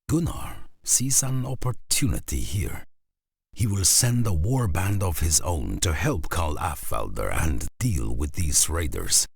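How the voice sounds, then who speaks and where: An actor playing a grave, weathered Norse saga storyteller in a cinematic video game, speaking deliberately with a husky, gravelly voice. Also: male voice, extremely low pitch, dry recording, studio quality, heavily acted. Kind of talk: scripted cinematic game narration.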